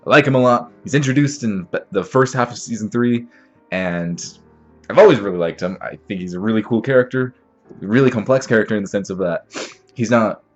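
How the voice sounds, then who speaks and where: A young man with a slightly stuffy, sniffly voice from a cold, hosting an anime reaction with rambling, excitable commentary full of fillers and restarts. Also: fairly low pitch, muffled recording.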